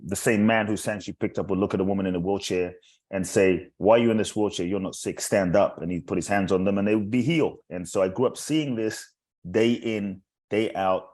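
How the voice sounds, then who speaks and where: A man born in Ghana and raised in South London, a guest speaker and author telling his own story in a measured way with pauses and fillers. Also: deep voice, a little mellow. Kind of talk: reflective storytelling interview answers. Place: remote recording from LA.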